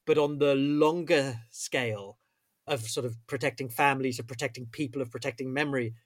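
A man's voice: medium pitch, fairly even intonation, a little fast, no echo. Thoughtful male interviewer, dry sound. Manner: thoughtful, reads quotes aloud. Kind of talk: literary interview.

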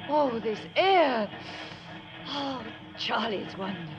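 High-voiced, earnest, genteel woman, radio drama. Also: lively intonation, slow, lo-fi sound, over music. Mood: relieved. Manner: proper, heartfelt diction. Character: earnest, devout, genteel woman, devoted and resolute. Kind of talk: 1950s radio drama acting.

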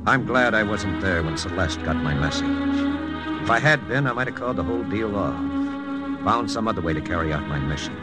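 An actor playing a guilt-ridden wartime secret agent who narrates in the first person in a 1950s radio drama, in a world-weary, confessional way. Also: male voice, fairly low pitch, lively intonation, fairly quick, over loud music, natural voice. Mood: brooding.

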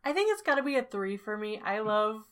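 A woman co-hosts a casual horror movie podcast and gives her opinions with dry, deadpan wit. Her voice sits at an ordinary middle pitch, and she talks at an easy conversational pace. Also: a little fast. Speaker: podcast co-host. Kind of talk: casual movie review chat.

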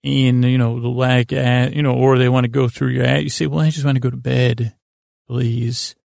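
A man with a creaky voice, hosting a podcast as a rambling, halting bedtime monologue that trails off and restarts. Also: medium pitch, level tone, darkish tone, no echo, clean studio sound. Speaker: podcast host.

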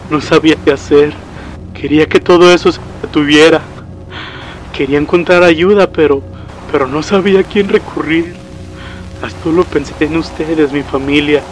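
Guardia nocturno aterrado, interpretado por un hombre. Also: male voice, medium pitch, natural voice, rough recording. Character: terrified night watchman, a father breaking down in panic. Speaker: storyteller in a horror story dramatization. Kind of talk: dramatized first-person horror narration. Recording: music behind the voice.

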